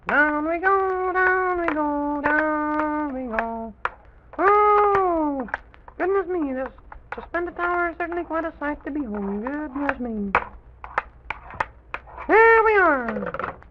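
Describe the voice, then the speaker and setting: A man voicing a small, excitable, curious childlike character in an old children's radio serial, exclaiming and repeating himself in wonder. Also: very high-pitched, slow, noisy background, exaggerated voice. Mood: eager, bustling. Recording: dull vintage radio recording with music.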